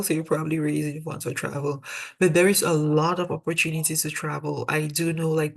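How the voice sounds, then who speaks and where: A woman's voice: low voice, gravelly, breathy edge. A young woman from Cameroon with a Cameroonian accent, a dental student mentor giving earnest webinar advice and repeating key points for emphasis over a video call.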